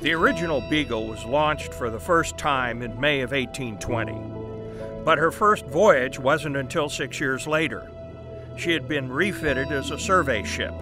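An actor playing a brisk, persuasive newspaper boss in an audio drama, confident and explanatory. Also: male voice, medium pitch, music in the background, close to an everyday voice. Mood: brisk enthusiasm. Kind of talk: family audio drama acting.

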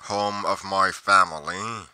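Man playing a pompous investigator, crisp deep voice. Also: fairly even intonation, takes it slowly, pushed and bright, no room sound, exaggerated voice. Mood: grave, dramatic. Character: pedantic, musing male paranormal investigator narrating his journal. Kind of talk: theatrical journal monologue in a game roleplay.

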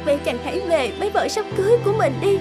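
A woman's voice: extremely high pitch, expressive, racing. A woman voicing a heartbroken young princess in a fairy-tale cartoon, plaintive and tearful. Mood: despairing. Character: heartbroken young princess pleading with her lost love. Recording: loud background music.

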